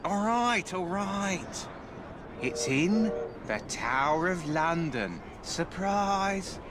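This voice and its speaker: An actor playing a whiny, rambling Victorian drunkard with slurred, plaintive speech in a video game cutscene. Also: male voice, high-pitched, expressive, extremely slow, background noise, exaggerated voice. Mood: resigned, playful.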